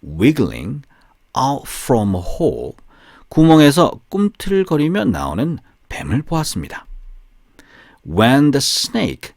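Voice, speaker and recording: A man narrating a scripted bilingual fairy tale for language learners, deliberately, phrase by phrase, in a storytelling delivery. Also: mid-range voice, wildly swooping pitch, creaky, darkish tone, no room sound, studio quality. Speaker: audiobook narrator.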